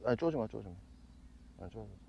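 Low-voiced man with lively intonation. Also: fast, gravelly. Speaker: host of a fishing channel. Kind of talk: casual outdoor fishing vlog with gear explanations. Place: outdoors on a seaside walkway. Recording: dull outdoor sound with faint background noise.

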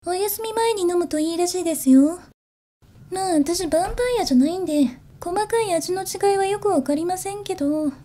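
Girl doing a zombie bunny cabin attendant, super polite n teasing, high soft voice, asmr drama. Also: a little fast. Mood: politely teasing. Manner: soft, formal customer-service politeness. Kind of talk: Japanese ASMR situation voice drama.

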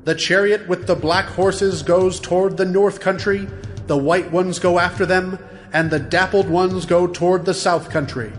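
Audio Bible angel, male actor: solemn, declaiming, raised pitch, long cathedral-like reverb over music. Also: steady tone, normal speed, lightly acted. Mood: solemn, declaring. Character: solemn angel messenger of biblical visions.

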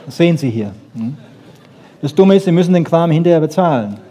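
A man giving a popular science lecture with wry humour, rhetorical questions and short checking tags, in a husky voice. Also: on the high side, expressive, a little fast, mellow, some chatter behind. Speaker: lecturer on brain research.

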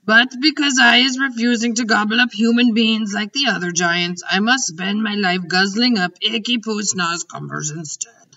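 A woman's voice: mid-range voice, normal speed, pushed and bright, pitched above the performer's own voice. A woman reading aloud as a kindly male giant who mixes up his words and speaks grandly, in a storybook. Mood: pleading. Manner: jumbled grammar, grand and emphatic. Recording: dull distant recording.

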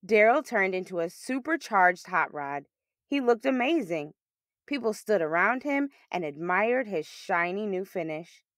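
A woman reading a children's picture book aloud as a storyteller, with expressive, emphatic delivery. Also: mid-range voice, unhurried, no room sound, studio quality.

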